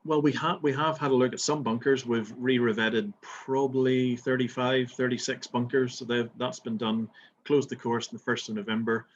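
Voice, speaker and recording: A man's voice: mid-range voice, level tone, normal speed, highs cut off, a little hiss or hum. A middle-aged man from Belfast with a Belfast accent, a golf resort manager answering interview questions with many fillers and restarts. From Northern Ireland.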